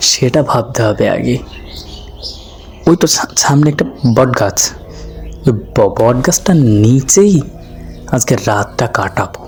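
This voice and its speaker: A man's voice: medium pitch, darkish tone, husky. A man playing a lazy, poor villager in a cartoon, musing aloud and complaining to himself in a rambling monologue. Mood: uneasy, resolute. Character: lazy, grumbling poor villager wandering at night.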